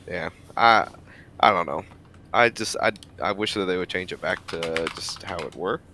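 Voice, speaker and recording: A man's voice: low voice, normal speed, noisy background. A man co-hosting a podcast, chatting casually about sports with friends. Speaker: podcast co-host.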